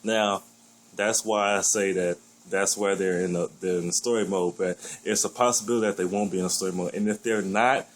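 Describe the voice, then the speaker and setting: A man with a deep voice giving his blunt, opinionated take on a new game in a casual vlog, swearing freely as he gripes. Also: fairly even intonation, brisk, low-quality recording. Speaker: gaming vlogger.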